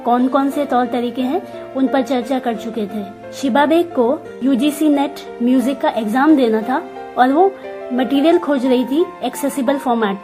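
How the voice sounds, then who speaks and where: A woman with an Indian accent explaining a case as a help desk worker, mixing English terms into her account. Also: high-pitched. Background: clear background music.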